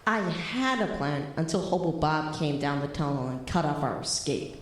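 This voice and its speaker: Earnest young gang leader in echo. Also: female voice, deep voice, animated, moderate pace. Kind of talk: audio drama acting. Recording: echoey reverb, faint music behind.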